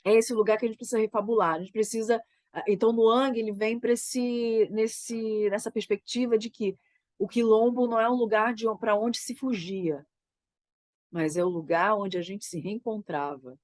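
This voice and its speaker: A woman with a Brazilian Portuguese accent, a theater creator getting over a cold, talking about her work in a reflective, halting way and often tagging her sentences with "né?". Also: on the high side, fairly even intonation, a little mellow, no echo. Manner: thoughtful, halting, frequent "né?" tags. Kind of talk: reflective conversation.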